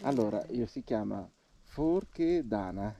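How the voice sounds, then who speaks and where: A man's voice: lowish voice, lively intonation, measured pace, mellow. A man giving casual, playful and encouraging commentary as he films and guides a walk outdoors. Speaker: hiking vlogger filming and guiding a walk. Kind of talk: casual trail commentary to viewers and companions. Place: outdoors on a mountain trail. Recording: clearly noisy outdoor handheld recording.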